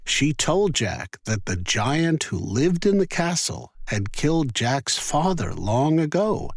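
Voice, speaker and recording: A man narrating a scripted children's fairy tale in an expressive, careful storytelling manner. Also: a little high, takes it slowly.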